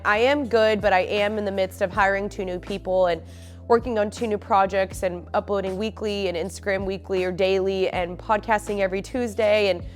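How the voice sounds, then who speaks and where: A young woman talks to her viewers from her living room with a stuffy, sniffly nose. Her voice sits at a middle pitch for a woman, and she rambles along in an open, friendly way with plenty of fillers. Faint music plays softly behind her. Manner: chatty, open, lots of fillers. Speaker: faith and lifestyle vlogger. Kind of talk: casual chat to camera.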